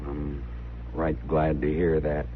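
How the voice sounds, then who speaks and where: An actor playing a humble, melancholy drifter in an Old West radio drama, with halting, folksy rural speech. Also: male voice, extremely low pitch, monotone. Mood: humble, wistful. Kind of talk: 1950s radio western acting. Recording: very muffled, noisy old radio recording.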